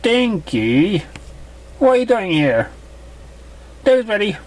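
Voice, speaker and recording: Casting: motherly cartoon pegasus mare, played by a man, voice lifted high, caring, reassuring, gently firm. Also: big pitch swings, slow pace, heavily acted. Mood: calling.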